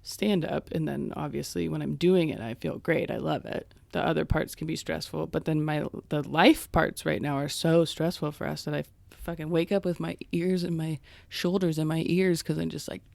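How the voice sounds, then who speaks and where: Female podcast co-host, strong vocal fry, playful and self-mocking, dry close sound. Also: low-pitched, fairly even intonation, normal speed, a little mellow, dry recording. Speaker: podcast co-host and stand-up comic. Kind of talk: casual chat.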